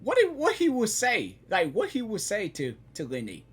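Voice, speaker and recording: A woman giving casual, spontaneous reactions to a game in a halting, sympathetic way, often repeating her words. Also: mid-range voice, huge pitch swings, breathy edge, soft background music. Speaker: gaming reaction video creator.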